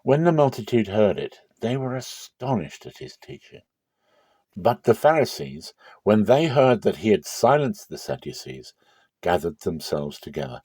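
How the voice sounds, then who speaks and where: A man giving a dramatic, expressive reading of scripture in a measured, emphatic way. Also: fairly low pitch, slightly rough, no echo. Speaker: Bible reader.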